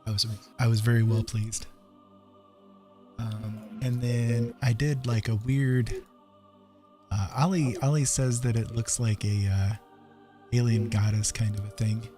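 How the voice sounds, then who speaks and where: A man hosting a casual livestream as he paints, talking in an easygoing, halting, rambling way. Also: on the low side, level tone, mellow. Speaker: streaming digital artist and host. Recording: music playing under the voice. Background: background music.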